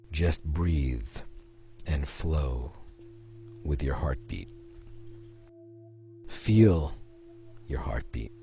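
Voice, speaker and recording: A man leading a guided meditation, speaking in a slow, soothing way with pauses between phrases. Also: rumbling low, gravelly, a little mellow.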